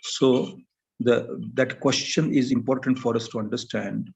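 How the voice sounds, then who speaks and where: An older man with an Indian English accent, giving a thoughtful academic talk as a panel speaker, pausing often and filling gaps as he searches for words. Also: medium pitch, steady tone, vocal fry, darkish tone. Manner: halting, thoughtful, with many pauses and fillers. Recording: slightly muffled lo-fi recording.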